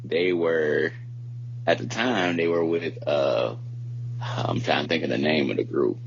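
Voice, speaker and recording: A man's voice: rumbling low, unhurried, vocal fry. A man in his thirties chatting casually as a podcast co-host, rambling along in loose, slangy, informal phrasing. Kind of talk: casual chat. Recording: muffled lo-fi audio with background hum.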